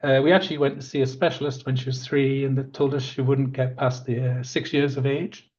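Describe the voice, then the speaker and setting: A man in his fifties, a park planning manager, presents a webinar in a croaky voice, talking in an earnest, conversational way with many fillers. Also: medium pitch, little pitch movement, brisk, darkish tone. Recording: dull lo-fi audio.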